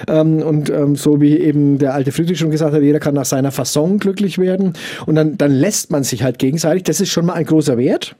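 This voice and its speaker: A middle-aged man with a Franconian accent, answering interview questions in a measured way, often pausing with fillers as he searches for words. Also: fairly high pitch, dark tone. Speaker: interview guest.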